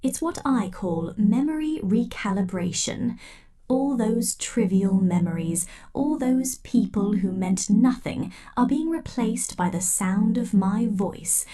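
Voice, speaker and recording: A woman performing an ASMR roleplay as a possessive, controlling scientist who addresses the listener in a soft, slow, menacing way. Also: mid-range voice, expressive.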